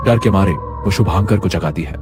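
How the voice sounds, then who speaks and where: A man with a low voice narrates a scripted horror story. Music plays clearly behind his storytelling. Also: normal speed, a little mellow.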